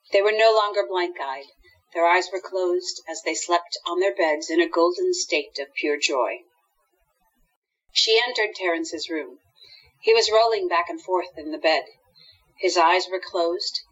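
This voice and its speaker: Husky, lower-voiced woman narrator. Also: dull audio. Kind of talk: scripted audio drama narration.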